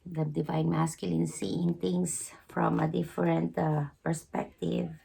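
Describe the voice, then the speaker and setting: A woman giving an intuitive tarot reading, hoarse because she is losing her voice, speaking slowly with many halting pauses. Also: deep voice, little pitch movement, warm tone. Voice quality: hoarse, gravelly. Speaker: tarot reader.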